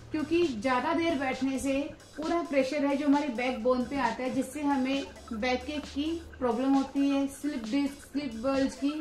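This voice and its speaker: A young woman talks in a high voice, explaining things casually like a home reviewer. The room adds noticeable echo, and music plays behind her. She slips English words into her instructions. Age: young adult. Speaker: vlogger reviewing a product. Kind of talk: casual unboxing and review talk to camera. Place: living room at home, indoors.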